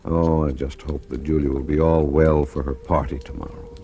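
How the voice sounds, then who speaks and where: An actor playing a concerned husband in a vintage radio suspense drama. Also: male voice, very deep voice, little pitch movement, moderate pace, warm tone, slightly rough. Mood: polite, guarded. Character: concerned husband in a troubled household. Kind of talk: 1940s radio drama acting. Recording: old radio drama with faint music.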